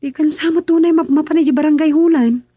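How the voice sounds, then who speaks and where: A woman's voice: high voice, fairly even intonation, fast, dark tone, no room sound, studio quality, close to an everyday voice. An actress with an Ilocano accent playing an insistent village woman in a radio drama, coaxing and pleading with tag questions. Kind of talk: radio serial drama acting.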